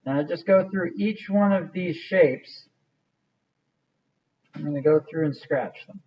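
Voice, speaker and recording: Man, art teacher, casual step-by-step talk, slightly higher voice, distant muffled recording. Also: unhurried. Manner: casual, step-by-step instruction with fillers. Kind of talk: clay modeling demonstration. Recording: muffled sound from a distant mic.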